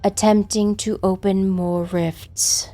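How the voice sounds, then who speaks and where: A woman playing a haughty, irritable monstrous snake woman, with a hissing, creaky, imperious drawl. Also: mid-range voice, flat delivery, takes it slowly, warm tone, natural voice. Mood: cold, irritated.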